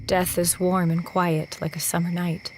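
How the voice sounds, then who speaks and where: An actress playing a haunted young nurse, narrating her ordeal gravely and vividly in a first-person audio drama. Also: lowish voice, level tone, natural voice, poor audio. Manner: grave, measured, vivid storytelling. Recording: storm noise loud behind the voice.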